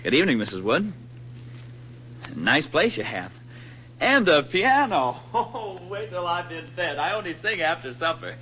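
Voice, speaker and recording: A young American soldier, affable and breezy, with a bright voice that bounces around in pitch. He jokes his way through an old radio drama, in a dull vintage recording. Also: big pitch swings, a little slow, slight background noise, exaggerated voice. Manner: jokey, breezy, wisecracking. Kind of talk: 1940s radio drama acting.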